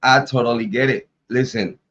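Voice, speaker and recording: A man chatting loosely on a home livestream, his voice still a little worn from being sick. He repeats words, restarts sentences and laughs between thoughts. His pitch sits in the middle, and the sound is slightly dull. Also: fairly even intonation, moderate pace, a bit bright. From Ohio, US. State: recovering from illness, voice coming back. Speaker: YouTube true crime host. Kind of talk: casual livestream chat.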